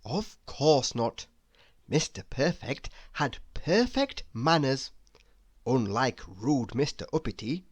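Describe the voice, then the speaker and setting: A woman narrating a children's storybook read-aloud in an expressive, deliberate storytelling manner. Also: rumbling low, husky, dry recording.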